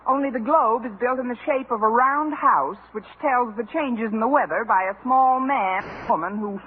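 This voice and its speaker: An actress playing a wry, sarcastic high school English teacher with deadpan wisecracks in a 1950s radio comedy. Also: female voice, normal speed, slightly rough. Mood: dry sarcasm. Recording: narrow, noisy 1950s radio recording.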